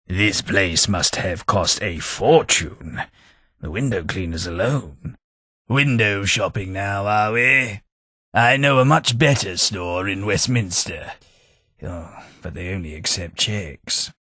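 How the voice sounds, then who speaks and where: An English actor voicing a posh, sardonic ghoul gentleman companion in a video game, with a plummy, dry delivery. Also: low voice, slow pace, dry recording, exaggerated voice. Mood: wry. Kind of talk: game voice lines.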